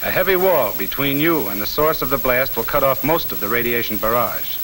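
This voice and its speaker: Forceful male voice, faint hiss, mid-century training film narration, blunt, folksy, punchy. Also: medium pitch, lively intonation, fairly quick, poor audio. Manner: blunt, punchy, addressing the listener directly.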